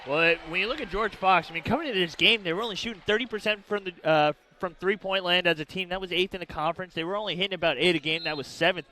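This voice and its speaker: A man adds color commentary to a basketball broadcast, breaking down plays in loose, conversational sentences. He talks quickly in a bright, fairly high voice. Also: a little hiss or hum. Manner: conversational analysis with fillers.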